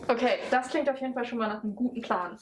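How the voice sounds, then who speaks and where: A woman's voice: a little high, quick pace. A woman presenting as a scientist in an educational film, explaining things in semi-scripted dialogue. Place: laboratory. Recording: faint background noise with clicks.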